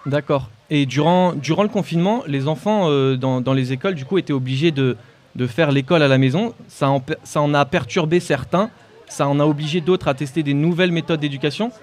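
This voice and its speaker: Halting male radio interviewer. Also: fairly high pitch, darkish tone. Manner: halting, repeats words while forming questions. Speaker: radio co-host.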